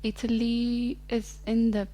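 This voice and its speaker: A woman's voice: fairly high pitch, level tone, takes it slowly, mellow. Easygoing woman in her twenties. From Ethiopia. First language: Amharic. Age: 20s. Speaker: podcast guest. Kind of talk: casual interview chat.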